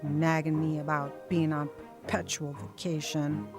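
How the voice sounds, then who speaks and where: A sharp-tongued wife in a radio drama, in a notably low voice. She sounds irritated, with faint music behind. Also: little pitch movement, a little slow.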